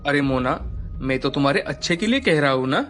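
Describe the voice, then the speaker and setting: A man's voice: fairly high pitch, lively intonation, fairly quick, a bit bright, faint music behind. A man playing a concerned, easily exasperated young husband in a moral story. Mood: nagging concern. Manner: scolding, caring.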